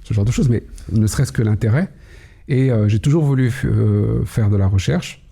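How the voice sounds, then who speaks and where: A middle-aged man, native Kabyle speaker, telling his story in an interview, slow and measured with many hesitations. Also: lowish voice, vocal fry, warm tone, slightly rough. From Algeria, Kabylie. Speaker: guest, cybersecurity head. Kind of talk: podcast interview.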